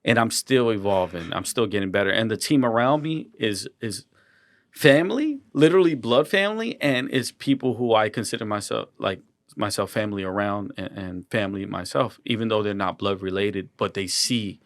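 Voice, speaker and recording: A man's voice: on the low side, normal speed, no echo, clean studio sound. A young man, a guest artist, talking earnestly in a casual interview, often restarting and repeating words. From Colombian and Ecuadorian heritage.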